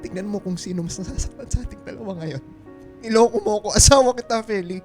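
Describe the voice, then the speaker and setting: High-voiced, anguished betrayed husband. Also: male voice, fast. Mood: tearful, betrayed. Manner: emotional, pleading, accusatory. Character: betrayed, anguished young husband and struggling father. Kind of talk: Filipino radio melodrama acting. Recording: music bed behind the voice.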